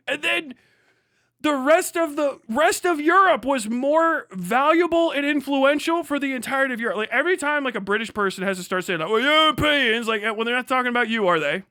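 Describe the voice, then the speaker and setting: An American man rants casually to his live stream in a high, bright voice. He is animated, mocking and liberal with swearing, and the sound is crisp and clear. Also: no echo, studio quality. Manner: foul-mouthed, animated, mocking. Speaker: livestream host.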